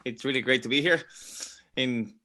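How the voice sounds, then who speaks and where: A man's voice: mid-range voice, moderate pace, bright, forceful tone. A middle-aged man from Venezuela, a guest on an online panel, talking through his story in a thoughtful way with many restarts and repeated words. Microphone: video call.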